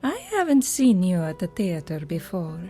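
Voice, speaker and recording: A woman's voice: on the low side, big pitch swings, moderate pace, dark tone, soft background music. An actress playing a playful, flirtatious foreign society lady in a radio drama, with a coquettish manner.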